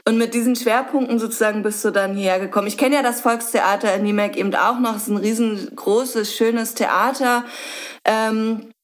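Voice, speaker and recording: A woman's voice: on the high side, fairly even intonation, normal speed. A young woman hosting a casual interview in a guest's home, chatty and often hesitating. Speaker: host.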